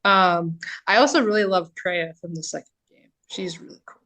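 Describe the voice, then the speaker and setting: A woman's voice: fairly low pitch, moderate pace, a bit bright. A woman voice actor chatting casually as a podcast guest in a playful, informal way. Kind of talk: casual chat.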